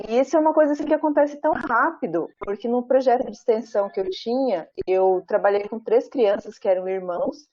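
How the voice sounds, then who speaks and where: A Brazilian woman professor giving an academic talk over a video call, speaking in a measured, thoughtful way with frequent pauses and tag questions. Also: mid-range voice, mellow. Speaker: professor presenting her research. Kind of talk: academic talk at an online book launch. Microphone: video call, camera off. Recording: muffled video call audio.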